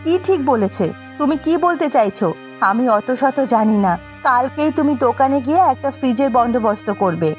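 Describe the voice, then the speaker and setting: A woman's voice: high-pitched, animated, fairly quick, soft background music. A woman playing a demanding, jealous village wife in a cartoon folk tale, scolding and insistent. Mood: angry. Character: demanding, jealous village wife nagging her poor husband.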